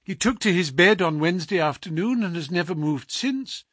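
Fretful old landlady, raised pitch, husky, read by a man. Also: expressive, normal speed, fairly bright tone, no room sound, clean studio sound. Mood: anxious pleading. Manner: urgent, pleading. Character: worried elderly landlady, anxious and pleading.

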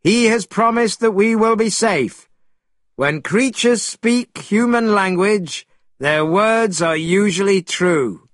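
Man voicing a bossy, high-pitched monkey hero. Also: expressive, unhurried, breathy edge, dry recording, clean studio sound. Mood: commanding. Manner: commanding, emphatic, threatening. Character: bold, bossy, suspicious male monkey hero.

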